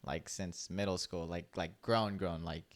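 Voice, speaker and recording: A young man co-hosting a podcast, telling stories in a relaxed, chatty way and leaning on "like" often. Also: sits low, measured pace. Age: young adult. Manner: laid-back storytelling, heavy use of "like". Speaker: podcast co-host. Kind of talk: casual chat.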